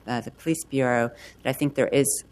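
A council member asking questions and giving remarks at a hearing in a measured way. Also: mid-range voice, fairly even intonation.

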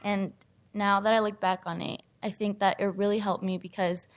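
A teenage young woman, a high school student, giving an earnest prepared speech in public. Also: flat delivery, normal speed. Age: teens.

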